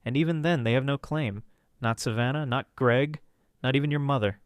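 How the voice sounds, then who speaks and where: A man's voice: mid-range voice, normal speed, smooth, dry recording, clean studio sound, lightly acted. A man voicing a kind but firm older male lawyer in a spoken story, speaking with emphatic reassurance.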